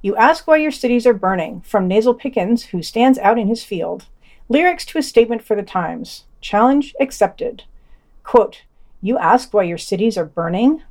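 A woman's voice: on the high side, animated, normal speed, darkish tone. A woman reading a scripted news roundup with quotes and commentary as a podcast host.

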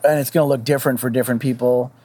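Mid-pitched man thinking aloud on a podcast. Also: fairly even intonation, racing, warm tone. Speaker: podcast panelist. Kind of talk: casual discussion.

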